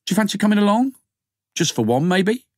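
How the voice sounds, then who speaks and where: A man's voice: sits high, big pitch swings, a little fast, a little mellow, no echo, pitched above the performer's own voice. Guy reading as doctor in his thirties, chatty bit awkward, audiobook dialogue, dry sound. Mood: keen, chatty.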